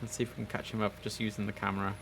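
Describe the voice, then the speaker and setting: A man's voice: lowish voice, little pitch movement, very fast, gravelly, noisy background. A young man giving chatty, enthusiastic casual commentary as he streams a game live from indoors. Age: young adult. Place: indoors at a computer.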